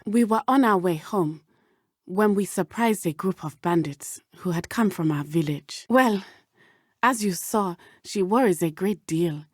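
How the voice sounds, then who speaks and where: A woman with a southern African accent playing an earnest, brave teenage witch in a video game, speaking in measured, formal, precise diction. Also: fairly low pitch, no echo, studio quality, lightly acted. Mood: thoughtful. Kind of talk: video game character dialogue.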